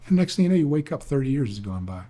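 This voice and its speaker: A man's voice: mid-range voice, big pitch swings, very fast, dark tone. An older man, an author, telling rambling, earnest stories in a reflective talk, often restarting his sentences. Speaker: author and speaker.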